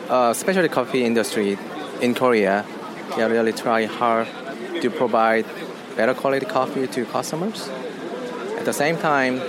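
A man representing a coffee farm answers questions slowly and carefully, his English phrasing halting. Clear traffic noise sits behind him. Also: medium pitch. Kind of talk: interview answers.

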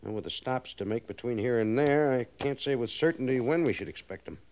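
A man playing an exacting but fair American cavalry major in a 1950s radio western, speaking with brisk, formal authority. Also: mid-range voice, animated, darkish tone, lightly acted. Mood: measured, concerned. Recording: muffled, noisy old 1950s radio recording.

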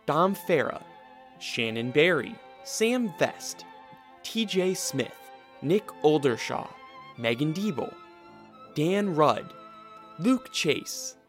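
Creaky-voiced man reading show credits. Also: a little high, fairly bright tone. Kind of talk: scripted announcement.

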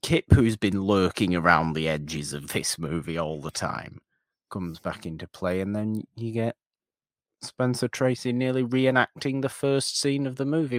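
A man with a very low, deep voice talks loosely about a classic movie, circling back and restarting his sentences. The sound is close and dry, with no room echo. He drifts between points in casual podcast chat. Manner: rambling, animated, self-interrupting. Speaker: podcast co-host. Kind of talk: casual film discussion.

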